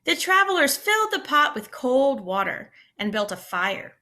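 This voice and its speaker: A teacher reading a picture book aloud to young children, with expressive voices and little asides to the class. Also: female voice, sits high. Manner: animated, pausing to comment to children. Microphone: screencast computer mic.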